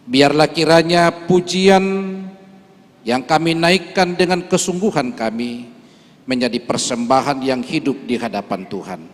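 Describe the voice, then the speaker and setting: A man's voice: medium pitch, unhurried. A man from Toraja, a church leader, giving an earnest speech and then a solemn prayer at a Christmas service. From Toraja, Indonesia. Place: large church hall. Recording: long hall reverb.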